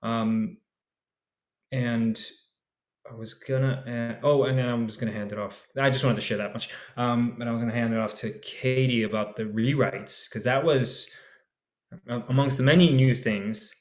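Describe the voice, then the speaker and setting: A man casually talking about acting in a radio play, loose and animated, with lots of fillers and restarts, on a home setup over a video call. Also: medium pitch, moderate pace, reverberant. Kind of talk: casual panel discussion.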